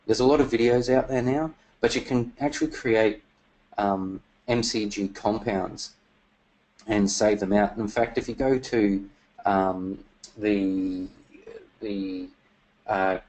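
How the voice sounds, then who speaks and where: A man presenting software features in a webinar, talking casually with many fillers, his voice getting worn and losing strength. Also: on the low side, fairly even intonation, slow pace, poor audio. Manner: casual, many fillers and restarts, throat clearing.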